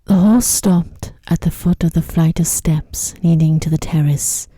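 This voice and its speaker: A woman reading a sleep story in a soft-spoken ASMR style, with a gentle, hushed, unhurried delivery. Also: low voice, steady tone, no echo.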